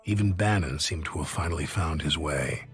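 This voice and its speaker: A man's voice: extremely low pitch, moderate pace. An actor playing a grave male soldier who narrates a war game's story in a solemn way, with music behind. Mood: somber. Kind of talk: game cutscene narration.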